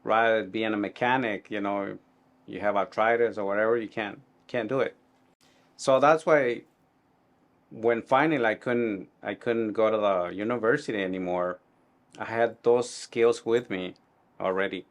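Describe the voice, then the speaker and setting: Low-voiced man with a Guatemalan Spanish accent. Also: fairly even intonation, moderate pace. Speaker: tailor being interviewed. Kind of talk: conversational interview answers.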